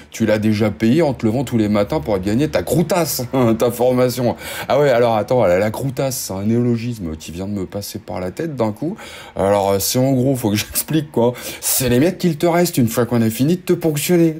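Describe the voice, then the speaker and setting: Sardonic man with a dark, mellow voice. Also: medium pitch. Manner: sardonic, joking, gloomy gravedigger tone; chatty, full of asides and fillers. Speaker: motorcycle news host. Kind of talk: casual chatty news commentary.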